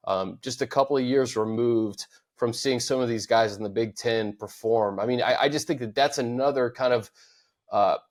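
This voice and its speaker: A man's voice: mid-range voice, moderate pace. Man, middle-aged, mid-range voice, thoughtful, restarts a lot, sports talk host. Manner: thoughtful, many restarts and repeated words. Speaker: podcast host. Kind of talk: casual sports chat.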